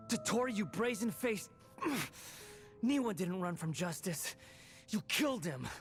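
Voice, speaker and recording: A man's voice: high-pitched, animated, unhurried, faint music behind. A man voicing a proud, bitter young male villain in a video game, with scornful, theatrical delivery. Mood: furious. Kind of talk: dramatic video game voice acting.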